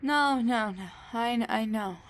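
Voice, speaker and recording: Woman acting a slow-drawling, high-voiced sassy supervillain woman. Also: poor audio. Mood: groggy, weakened. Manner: casual, wry, halting, slangy. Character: sassy, weary female supervillain, wounded and rambling. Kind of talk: roleplay audio drama addressed to the listener.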